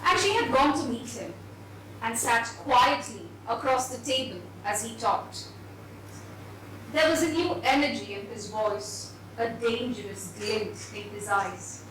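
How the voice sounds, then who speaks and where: A woman's voice: a little high, a little slow, a bit bright. A woman giving a dramatised reading of a story on stage. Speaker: story reader. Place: echoey event hall with audience. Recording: very echoey hall, distant, noisy.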